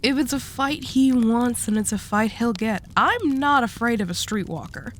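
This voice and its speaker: A woman's voice: fairly high pitch, lively intonation, moderate pace, natural voice. Woman acting a curt female mafia boss, noisy background. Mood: hard, determined. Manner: dry, curt, teasing. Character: commanding, sharp-tongued female mafia boss, wry. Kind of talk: audio roleplay drama acting.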